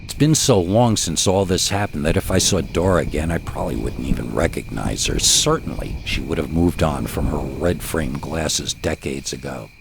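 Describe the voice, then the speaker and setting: A man's voice: extremely low pitch, normal speed, gravelly, few pauses, noisy background. A man narrating scary stories in a dramatic style as the host of the show. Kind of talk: dramatic horror story narration.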